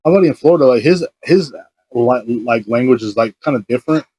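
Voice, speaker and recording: Joking Texan podcast co-host. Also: male voice, mid-range voice, expressive, brisk, dark tone, husky, dry recording. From Texas. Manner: joking, chatty, laughs often. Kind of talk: casual chat.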